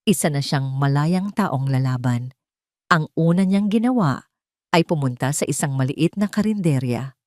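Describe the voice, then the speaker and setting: A woman's voice: sits low, lively intonation, normal speed, a little mellow, dry recording, studio quality. A woman reading a scripted dramatic story in an emotive storytelling manner. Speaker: story narrator.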